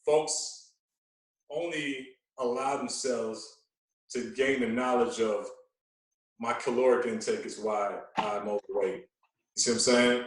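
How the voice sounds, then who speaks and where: A man's voice: mid-range voice, steady tone, a little slow, pauses often, far from the mic. A man talking as a guest fitness coach on a podcast, explaining at length with emphatic rhetorical check-ins. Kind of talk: podcast conversation. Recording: very echoey room.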